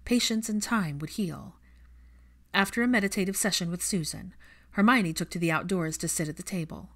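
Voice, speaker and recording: A woman's voice: on the low side, normal speed, fairly bright tone. A woman reading fiction aloud as an audiobook narrator, in scripted storytelling style.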